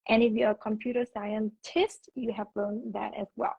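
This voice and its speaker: A woman's voice: a little high, level tone, moderate pace, vocal fry, breathy edge, no echo. A young woman with a Vietnamese accent, a teacher explaining her views thoughtfully in a casual video call, restarting words as she goes. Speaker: English teacher, guest. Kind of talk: casual conversation. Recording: dull lo-fi video call audio.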